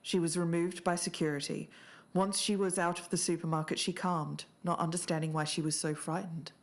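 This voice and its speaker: A woman acting as a composed podcast investigator. She has a slightly low voice and recounts strange cases in a conversational, documentary manner as a co-host. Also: little pitch movement, a little fast, lightly acted.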